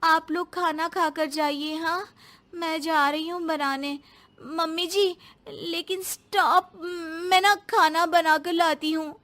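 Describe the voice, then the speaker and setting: A woman's voice: very high voice, steady tone, slow pace, pushed and bright, voice pushed up, exaggerated voice. A woman voicing a whiny, flustered young daughter-in-law in a cartoon story, exclamatory and dramatic. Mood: cheerful, chatty.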